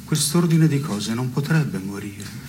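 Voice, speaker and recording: An actor playing a deadpan, solemn man in a theatre dialogue, speaking deliberately with halting pauses. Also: mid-range voice, natural voice. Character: deadpan man solemnly pondering what should die. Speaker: stage actor. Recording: clearly noisy background.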